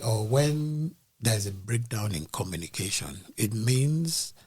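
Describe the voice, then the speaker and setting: A man who is a podcast guest, explaining ideas in a deliberate way and using everyday comparisons. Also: lowish voice, husky.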